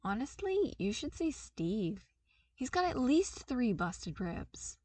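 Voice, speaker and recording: A woman's voice: on the high side, very animated, takes it slowly, a little mellow, husky, no echo, pitched above the performer's own voice, exaggerated voice. A woman reading aloud and voicing a patient, nonchalant female agent in a level tone. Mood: calm. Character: calm, unflappable female agent.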